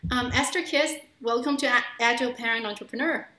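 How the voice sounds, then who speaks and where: Female interview host, slightly high voice, conversational delivery, noticeably echoey recording. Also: steady tone, fairly quick, pushed and bright. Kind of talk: conversational show introduction and interview. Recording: roomy, echoing voice recording.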